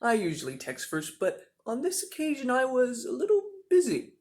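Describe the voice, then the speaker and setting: A man acting a polite but menacing enforcer, smug and officious, delivering a formal, measured villain monologue. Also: extremely high pitch, wildly swooping pitch, exaggerated voice. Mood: coolly menacing. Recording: echoey room, distant mic, faint hiss.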